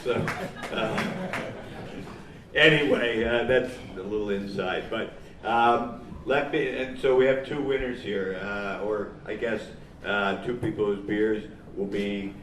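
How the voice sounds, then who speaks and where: A man from New England hosting a homebrew competition, announcing the winners in a halting, informal speech. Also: on the low side, fairly bright tone. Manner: halting, with frequent pauses. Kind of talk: informal speech at a live event. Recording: echoey room, mic far off, faint noise.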